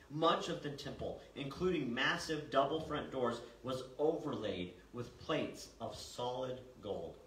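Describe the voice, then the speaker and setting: An older man delivers a church sermon in a bright, projected voice with measured emphasis. He is recorded from across a roomy sanctuary, with the microphone at a distance and faint noise behind him. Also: medium pitch. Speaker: pastor. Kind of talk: sermon with scripture reading. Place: small church sanctuary, indoors. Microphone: far camera.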